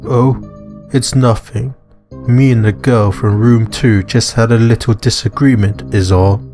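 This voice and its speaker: A man playing a big, earnest, suspicious man in a visual novel, speaking in a deliberate, questioning way. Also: medium pitch, fairly even intonation, a little mellow, faint music behind, lightly acted. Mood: wary.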